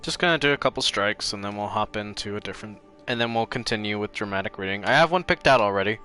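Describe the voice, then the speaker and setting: A man's voice: fairly low pitch, quick pace, pushed and bright, soft background music. A man chatting casually and a bit ramblingly to viewers while livestreaming a video game. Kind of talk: casual chat to stream viewers.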